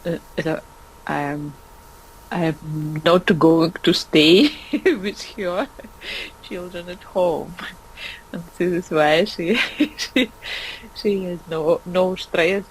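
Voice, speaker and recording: Hesitant woman learner, very slow. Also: on the low side, a little hiss or hum, rough recording. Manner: hesitant, searching for words, laughing often. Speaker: language learner. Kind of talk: conversation practice.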